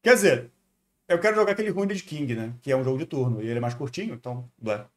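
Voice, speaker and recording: Fast-talking Brazilian man streaming. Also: medium pitch, animated. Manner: rambling, repeats phrases, thinks aloud. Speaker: streamer reacting to a video. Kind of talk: casual live stream chat.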